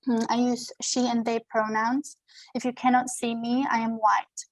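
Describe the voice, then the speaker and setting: A woman whose first language is German, giving an earnest, measured lecture from her notes over a video call from home. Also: a little high, level tone, no echo, poor audio. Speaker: guest lecturer.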